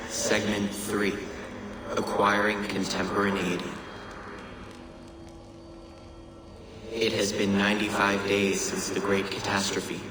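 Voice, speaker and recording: An actor playing a solemn messenger of a lost ancient civilization in a video game, measured, cryptic and portentous. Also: male voice, on the low side, lightly acted. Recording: echoing chamber with loud ambient noise.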